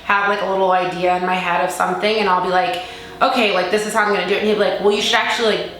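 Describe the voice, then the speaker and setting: A woman's voice: mid-range voice, steady tone, racing, a bit bright, slight background noise. A young woman in her late twenties chatting casually and emphatically as a vlogger, peppering her talk with "like". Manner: chatty, emphatic, heavy use of "like". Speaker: vlogger, content creator. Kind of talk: casual chat Q&A to camera. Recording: very echoey room, music underneath.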